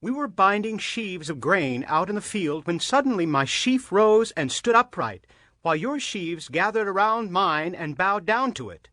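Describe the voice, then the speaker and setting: Bright, high-pitched eager young dreamer. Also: male voice, lively intonation, moderate pace, no room sound, clean studio sound. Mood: excited. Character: eager seventeen-year-old shepherd boy, favoured dreamer son. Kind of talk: dramatized scripture acting.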